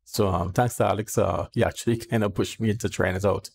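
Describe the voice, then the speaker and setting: Male host in his thirties, headset mic, steady explainer tone, faint birds behind. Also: on the low side, quick pace, gravelly. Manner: plain, explanatory, talks straight to viewers. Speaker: channel host. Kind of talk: casual tech demo talk. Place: small home room. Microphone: headset boom mic. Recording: faint background birdsong noise. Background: faint birds chirping.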